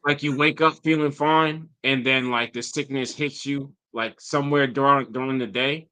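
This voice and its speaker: A man's voice: medium pitch, moderate pace, clear, resonant tone. A man co-hosting a gaming podcast, chatting casually about being sick with the flu, still slightly nasal and rambling with fillers. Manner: relaxed storytelling, lots of fillers. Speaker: podcast co-host. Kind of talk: casual chat.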